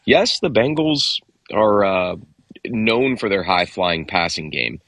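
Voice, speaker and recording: Deep husky-voiced man breaking down football. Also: expressive, fairly bright tone, dry recording. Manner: measured, analytical, thinks aloud. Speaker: football analyst. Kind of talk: sports talk show discussion.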